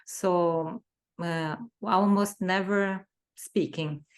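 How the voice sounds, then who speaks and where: Slow-talking Brazilian woman, low voice. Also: steady tone. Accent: Brazilian Portuguese. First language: Portuguese. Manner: careful, searching for words in a second language. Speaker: interview guest. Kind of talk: conversational interview answers.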